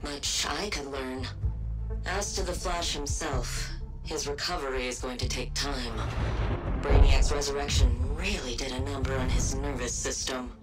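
An actress playing a cold, calculating ice villain scientist in a video game, speaking in a clinical, formal, measured way. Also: female voice, very deep voice, fairly even intonation. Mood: cold, resolute. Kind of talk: scripted video game dialogue. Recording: loud music behind.

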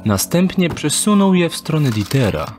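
A man narrating an audio drama in a measured, descriptive way. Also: on the high side, big pitch swings, over music.

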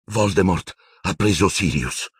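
Audiobook role: intense teenage boy played by a man, insistent and argumentative, husky tone, lively swings in pitch. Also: a little slow, no room sound, studio quality, heavily acted. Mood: urgent, shaken. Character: intense, hot-headed teenage boy, urgent and defiant.

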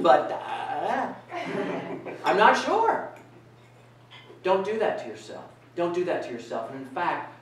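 A man's voice: on the high side, distant mic, a little hiss or hum. A middle-aged man giving an interactive keynote talk in a conference room, asking the audience questions. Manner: animated, asks the audience questions. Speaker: keynote speaker. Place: conference room with projector screen, indoors. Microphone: far camera, no mic. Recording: echoey room from afar.

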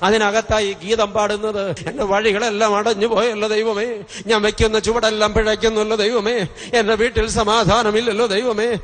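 Impassioned high-pitched male preacher, booming hall. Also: flat delivery, normal speed, a bit bright. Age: middle-aged. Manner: impassioned, exhorting, emphatic with repeated phrases. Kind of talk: fervent revival sermon. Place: convention stage at a podium. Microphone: stand microphone close to mouth. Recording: reverberant hall sound system.

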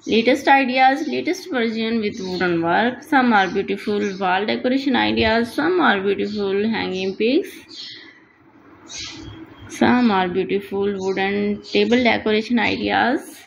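Creaky-voiced woman hosting a slideshow. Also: mid-range voice, measured pace, slight background noise, poor audio. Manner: repetitive, enthusiastic promotional phrasing; non-native, simple English. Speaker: channel host. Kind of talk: voice-over for a slideshow.

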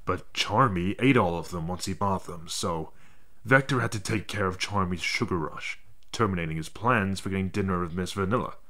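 A man's voice: deep voice, moderate pace, sharp tone. A man voicing an irritable, guarded young chameleon ninja detective in a fan audio drama, stern and clipped. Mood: grudging.

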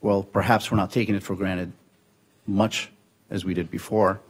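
Syrian-born guy in his 40s at a podium, lowish voice, lots of uhs and restarts, panel talk. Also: little pitch movement, slightly rough. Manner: measured, with frequent fillers and restarts. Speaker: conference panelist. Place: conference room at a podium. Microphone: podium microphone.

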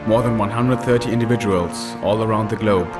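A man narrating a documentary film in a measured, scripted way. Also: lowish voice. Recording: voice-over with loud music behind.

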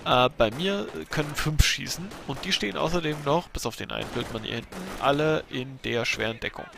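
A man with a bad cold commentating a replay of his own strategy game match, explaining his moves in a relaxed way with fillers. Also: fairly high pitch, a little fast, creaky, background noise.

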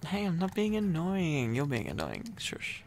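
Slow, creaky, playful boyfriend ASMR man. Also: on the high side, huge pitch swings, darkish tone, a little hiss or hum. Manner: playful, teasing, affectionate. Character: needy, silly, affectionate boyfriend. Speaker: ASMR roleplay performer playing a boyfriend. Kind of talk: boyfriend ASMR roleplay, intimate rambling.

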